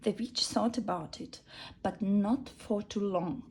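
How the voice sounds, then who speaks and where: A woman's voice: mid-range voice, slow pace, darkish tone. A woman reading a rhyming children's bedtime story in a sing-song way. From Russia.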